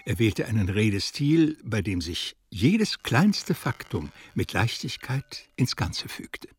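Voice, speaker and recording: A man reading literary narration in a German radio drama, in an expressive storytelling style. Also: fairly low pitch, takes it slowly, slightly rough, no echo.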